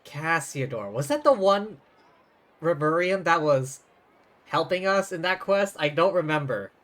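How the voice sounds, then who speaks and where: A man's voice: a little high, very animated, a little slow, fairly bright tone. A man doing casual live game commentary, chatty and thinking aloud as he plays. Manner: chatty, mimics lines mockingly, thinks aloud. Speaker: game streamer. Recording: faint game music behind.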